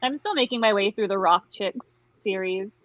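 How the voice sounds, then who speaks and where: A woman's voice: medium pitch, moderate pace, no echo. A woman with a mid-range voice chats casually about books. Her audio is very dry and somewhat dull. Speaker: book-chat livestream participant. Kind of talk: casual chat.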